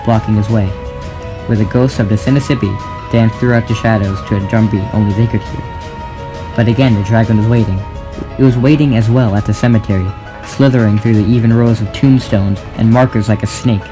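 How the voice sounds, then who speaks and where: Low-pitched man narrating a dramatic story. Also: fairly even intonation, a little fast. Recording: music behind the voice. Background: clear music.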